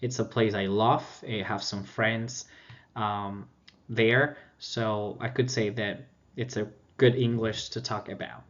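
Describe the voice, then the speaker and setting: Male student presenter, Colombian accent, Spanish native, informal academic delivery with searching hesitations, dull low-fidelity sound. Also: fairly even intonation, slow. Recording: dull lo-fi audio.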